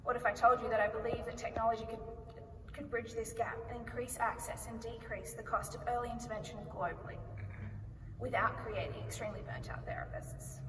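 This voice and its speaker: An Australian woman delivers a rehearsed pitch in a clear, measured voice that sits a little high. She sounds far from the microphone, and a long echo from a big hall trails after her words. Plenty of background noise runs under everything. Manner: clear, measured presentation delivery with rhetorical questions. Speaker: company founder pitching. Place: large conference hall. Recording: echoey hall recorded from far off, noisy.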